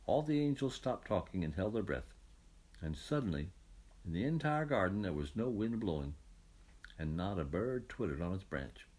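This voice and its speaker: A man narrating a scripted children's story as an audiobook reader, in an expressive storytelling manner. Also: low-pitched, normal speed.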